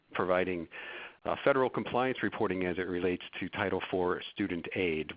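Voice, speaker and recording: A man's voice: deep voice, no echo. A middle-aged man with a stuffy cold gives a webinar presentation, hesitant with many fillers and restarts. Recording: dull lo-fi webinar audio.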